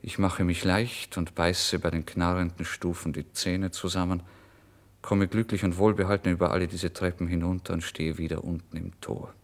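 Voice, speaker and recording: Deep-voiced starving, overwrought writer. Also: male voice, flat delivery, moderate pace. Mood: brooding, tense. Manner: theatrical, intense, swinging between hushed and exclamatory. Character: starving, penniless male writer, overwrought and emotional, recounting his misery. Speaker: first-person narrator. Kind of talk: dramatic literary reading for German radio drama.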